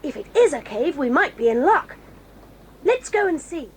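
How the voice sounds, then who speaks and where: A woman playing a bold, practical tomboy girl, brisk and matter-of-fact in a 1980s audio drama. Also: high voice, big pitch swings. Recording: faint hiss of old cassette.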